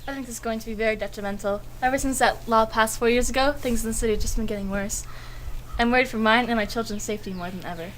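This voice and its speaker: A woman's voice: a little high, steady tone, fast, fairly bright tone, a little hiss or hum, lightly acted. A woman playing a worried, indignant 1920s mother in a scripted street interview. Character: worried, indignant mother living in 1920s mob-run town.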